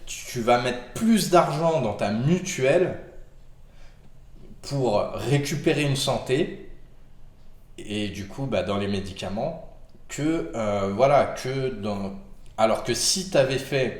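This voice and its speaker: Man, has a cold, slow drawl, fillers and restarts, echoey room. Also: mid-range voice, expressive. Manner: rambling, many fillers and restarts, addresses viewer as tu. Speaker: vlogger giving health advice. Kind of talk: casual advice to camera.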